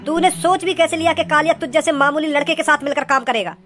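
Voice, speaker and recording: A performer voicing a menacing, scheming male cartoon gangster, speaking in deliberate, boastful threats. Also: sits high, fairly even intonation, sharp tone. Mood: contemptuous. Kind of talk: cartoon villain dialogue. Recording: music behind the dialogue.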